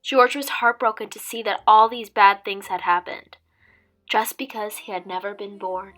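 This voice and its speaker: A woman reading a children's story aloud as a teacher would, with dramatic, expressive delivery. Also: medium pitch, normal speed. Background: background music.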